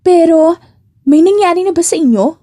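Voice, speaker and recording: A woman's voice: extremely high pitch, normal speed, voice pushed up. Woman doing a sassy, gossipy office friend. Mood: nosy, excited. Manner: nosy, sassy, excitable. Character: gossipy, cheeky office friends teasing a coworker. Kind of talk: romance audiobook dialogue.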